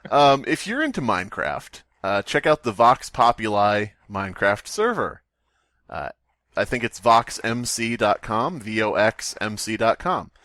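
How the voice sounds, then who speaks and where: A man's voice: lowish voice, big pitch swings, unhurried, fairly bright tone, no room sound, clean studio sound. A man hosting a podcast in casual, comedic, riffing chat. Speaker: podcast host.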